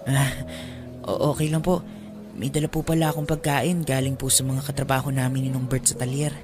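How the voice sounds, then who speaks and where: An actor playing a polite, humble workmate with a Filipino accent, hesitant and deferential in a radio drama. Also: male voice, medium pitch, level tone, brisk, noisy background, close to an everyday voice. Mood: shy, apologetic. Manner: hesitant, deferential, soft-spoken. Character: polite, humble male workmate bringing help. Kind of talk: Tagalog radio drama acting.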